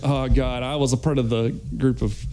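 A man's voice: mid-range voice, fairly even intonation, moderate pace, warm tone. A man telling stories casually as a podcast guest, in a jokey, slangy manner. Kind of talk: casual joking storytelling.

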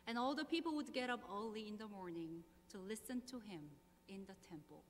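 Korean-accented woman pastor in echoing hall. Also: mid-range voice. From South Korea. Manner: measured, earnest, slow deliberate phrasing. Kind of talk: sermon with prayer and scripture reading. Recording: long hall reverb, faint hum.

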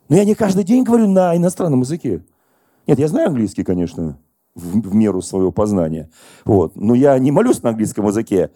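A middle-aged man preaching a sermon as pastor, telling stories and questioning the congregation in a lively conversational way. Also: fairly high pitch, no echo, studio quality. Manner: conversational, animated storytelling to congregation.

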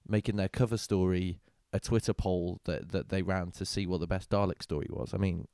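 A man's voice: sits low, fairly even intonation, a little fast, mellow, clean tone, no room sound, studio quality. A man with a northern English accent, chatting casually and giving opinions, often repeating words. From northern England. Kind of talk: casual chat.

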